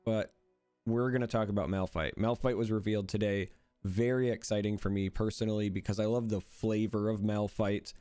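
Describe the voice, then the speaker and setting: A man's voice: fairly low pitch, steady tone, a little fast, warm tone, clear, resonant tone, no room sound, soft background music. A young man losing his voice, casually reviewing a new game card with jokes and enthusiasm. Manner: enthusiastic, joking, explanatory. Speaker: card game content creator.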